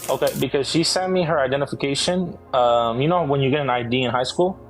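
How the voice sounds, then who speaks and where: A man of middle pitch questions a guest in a firm, accusing manner. He talks in loose street slang and keeps pushing for answers. Faint music plays behind him. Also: quick pace. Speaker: interviewer. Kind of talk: confrontational interview.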